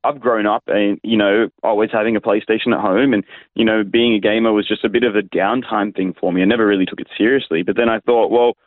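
Male pastor guest, Australian, lowish voice, conversational, thin phone-band audio. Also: fairly even intonation, fast, no room sound. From Sydney, Australia. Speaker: interview guest, youth pastor. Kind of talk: casual conversational storytelling. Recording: tinny narrow phone-like line.

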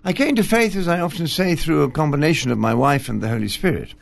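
An older man from Scotland, a retired bishop, talking gently and self-deprecatingly as a studio interview guest, with fillers and pauses. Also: a little high, vocal fry. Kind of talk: relaxed interview conversation. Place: television studio.

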